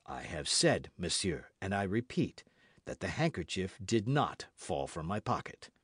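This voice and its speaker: Man as a cool, haughty, dryly recorded gentleman musketeer. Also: sits low, sing-song, breathy edge, dry recording, clean studio sound, voice pushed down, full character voice. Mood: haughty, restrained. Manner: measured, formal, coldly polite, cutting. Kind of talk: audiobook character acting.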